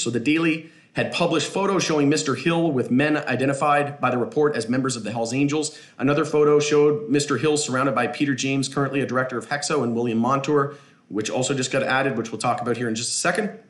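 Fast-talking man hosting stock commentary. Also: mid-range voice, a bit bright, echoey room. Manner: casual, reads articles aloud with asides and fillers. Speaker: stock market video host. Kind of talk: screen-share commentary reading news articles.

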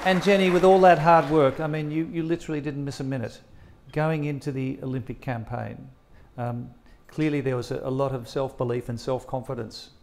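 A man's voice: medium pitch, fairly even intonation, a little fast, vocal fry, hardly any pauses, a little mellow, some chatter behind, slight background noise. An older man with an Australian accent, interviewing guests on stage as the host of an evening event.

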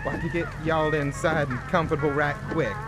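A man's voice: a little high, wildly swooping pitch, fairly quick, loud music behind the voice. An actor playing a folksy but sinister Southern plantation man with a Southern US drawl in a horror audio drama. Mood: eerily hospitable. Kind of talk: radio-style horror drama acting.

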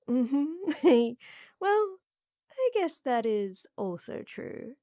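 A woman playing a tall, strong lady knight who flirts and teases softly and coyly in a roleplay audio drama. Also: high voice, no echo, clean studio sound, full character voice. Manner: teasing, coy, soft and intimate with chuckles.

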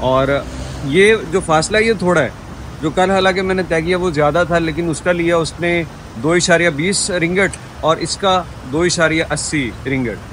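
Pakistani guy doing a chatty travel vlog, slightly high voice, noisy background. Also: normal speed, fairly bright tone. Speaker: travel vlogger and host. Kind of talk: casual vlog narration to camera. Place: busy restaurant and open train station. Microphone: phone at arm's length. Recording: noisy restaurant and street ambience.

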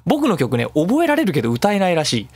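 A man's voice: sits high, wildly swooping pitch, fast talker, husky, no room sound. A man hosting a casual solo web radio show in speech, chatty and given to acting out imagined remarks. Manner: talkative, acts out imagined remarks mid-talk.

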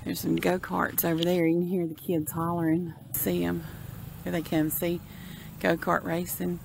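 A woman's voice: on the low side, monotone, normal speed, vocal fry. A woman, chatting and laughing in a casual travel vlog, recorded on a phone held at arm's length inside a moving car. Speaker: vlogger. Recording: road noise from a moving car.

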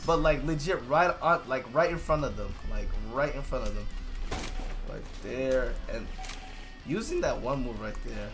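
A young man giving loose, excitable commentary over his own gameplay, swearing freely and going hoarse from losing his voice. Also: medium pitch, measured pace, music in the background. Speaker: gaming YouTuber.

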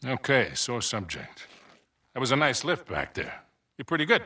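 Wry, husky middle-aged hustler. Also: male voice, mid-range voice, big pitch swings, pushed and bright, full character voice. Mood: amused, firm. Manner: wry, needling, unhurried. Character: wry, unflappable middle-aged male con man. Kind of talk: video game cutscene acting.

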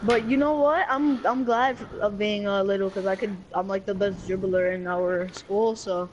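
A young boy in fifth grade chatting over game voice chat, excitable and giggly, often stumbling over his words. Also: high-pitched, normal speed, highs cut off, a little hiss or hum. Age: child. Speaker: online game player. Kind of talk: casual voice chat while gaming.

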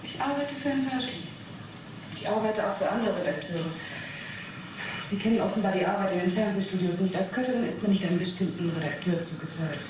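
A woman's voice: medium pitch, fairly quick, natural voice. An actress playing a guarded, defiant young woman under questioning, curt and defensive, in a 1980s German radio drama. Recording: very echoey, distant, loud background noise.

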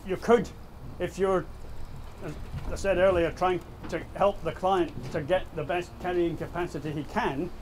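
A man's voice: sits high, darkish tone, poor audio. A middle-aged man, explaining a boat survey test practically to a group at a marina, thinking aloud with restarts. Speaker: surveyor demonstrating a heel test. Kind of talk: on-site technical demonstration. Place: outdoor marina, on a small boat. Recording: outdoor noise around voices.

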